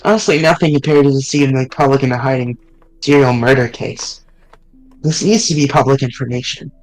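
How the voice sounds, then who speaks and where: A man's voice: mid-range voice, fairly even intonation, a little fast, soft background music, natural voice, rough recording. A man voicing a loyal young male friend character while reading a fan visual novel aloud on stream. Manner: casual, blunt delivery with mild swearing.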